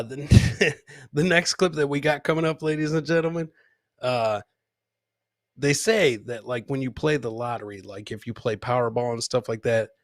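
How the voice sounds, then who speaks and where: A man's voice: a little high, a bit bright, dry recording, clean studio sound. A man hosting a comedy show, casually commenting on clips with crude, mocking humour and repeating his punchlines.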